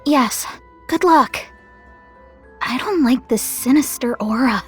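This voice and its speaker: A woman's voice: high-pitched, slow, husky, soft background music, exaggerated voice. A woman playing a worried, earnest female deity who guards time in a video game. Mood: earnest concern. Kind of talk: animated game cutscene acting.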